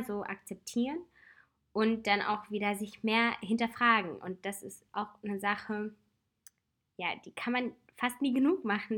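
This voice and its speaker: A woman in her twenties with a slight cold, hosting a casual personal advice talk in a reflective, chatty way with soft laughs. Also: on the high side. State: has a cold.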